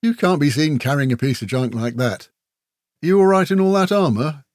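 A man's voice: on the high side, animated, normal speed, darkish tone, no echo, pitched above the performer's own voice, natural voice. Cheeky, talkative old-tale warrior, done by a male narrator: folksy, breezy, matey; fantasy audiobook, dry sound. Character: breezy, chatty male kinfolk warrior and teasing storyteller. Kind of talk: fantasy audiobook character dialogue.

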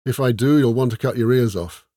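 A man's voice: medium pitch, a little fast, warm tone, no room sound, clean studio sound, natural voice. A man voicing a glum, grumpy young woman who speaks curtly. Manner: curt, sullen.

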